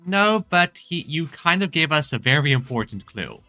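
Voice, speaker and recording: A man playing through a game, reading dialogue aloud and reacting in halting mutters to himself. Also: on the high side, sing-song, bright, forceful tone, dry recording, faint music behind.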